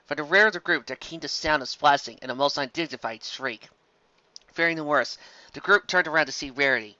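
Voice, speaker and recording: A man reading a story aloud as an expressive amateur narrator, recorded in dull audio. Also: mid-range voice, dry recording.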